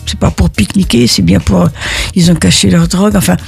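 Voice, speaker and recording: Older woman from Italy, gravelly and husky, halting interview answers with many restarts. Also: on the low side, fairly even intonation, darkish tone, soft background music. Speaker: interviewee, neighborhood volunteer.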